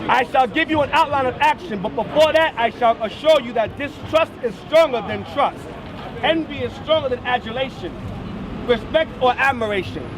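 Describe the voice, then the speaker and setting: A man as a street preacher with a very high voice reading a text aloud word by word. He stresses each listed word as he reads. He sits in the middle of clear crowd chatter on a city street. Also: moderate pace, poor audio. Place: outdoors on a busy street. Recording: outdoor street recording with crowd noise.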